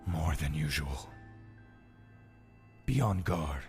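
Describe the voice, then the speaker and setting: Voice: very deep voice, expressive, slow pace, a little mellow, faint music behind, full character voice. An actor voicing an ominous, wary spirit-like companion with a terse, foreboding manner in an audio drama.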